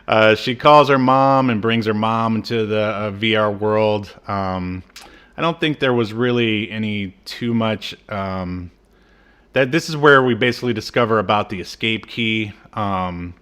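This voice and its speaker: Low voiced guy on a podcast just recapping tv stuff, jokey and irreverent. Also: measured pace, a bit bright, smooth. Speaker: podcast host. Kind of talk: casual recap chat about an old TV show.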